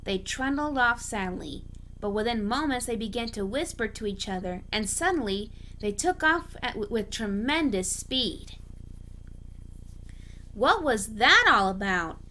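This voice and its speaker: A high-pitched woman narrates a children's-style story with very lively intonation. She reads from a script, occasionally tripping over words, and there is faint noise in the recording. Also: normal speed. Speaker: amateur story reader. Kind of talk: scripted narration, reading fan fiction aloud.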